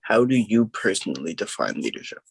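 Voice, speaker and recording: A man's voice: lowish voice, very animated, a little fast, gravelly, slight background noise. A man, a music artist, interviewing a podcast host in an enthusiastic, slangy way. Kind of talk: casual interview chat.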